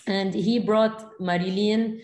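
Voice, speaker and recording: A woman's voice: medium pitch, fairly even intonation, takes it slowly, a little mellow. Candid woman on an echoey video call. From Lebanon. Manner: candid, thoughtful, many fillers like "you know". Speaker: film director, interview guest. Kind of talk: casual interview over video call. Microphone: video call, webcam.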